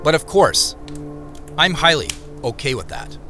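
An actor playing a reflective, quietly content man, narrating his own journey in an understated, confiding first-person drama style. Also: fairly high pitch, big pitch swings, unhurried. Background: music bed.

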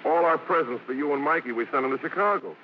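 A man's voice: a little high, quick pace, a little hiss or hum, natural voice. An actor playing a breezy, wisecracking vaudevillian father in a 1950s radio drama, with jaunty, slangy banter. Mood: playful. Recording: dull, lo-fi vintage radio recording with music.

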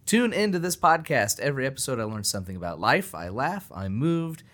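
A man co-hosting a podcast, talking casually and plugging the show. His voice sits at a middle pitch. He sounds loose and conversational. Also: very animated, brisk, a bit bright. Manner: chatty, reads listener review aloud. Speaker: podcast co-host. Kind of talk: casual chat.

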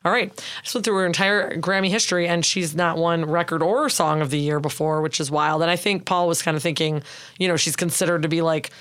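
A woman with a raspy voice, chatting casually and conversationally as co-host of a podcast. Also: deep voice, steady tone, a little fast, fairly bright tone.

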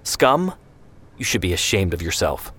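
A man's voice: mid-range voice, normal speed, voice pushed up, lightly acted. A man voicing an idealistic young man who argues with rising outrage. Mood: scornful. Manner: outraged, pleading.

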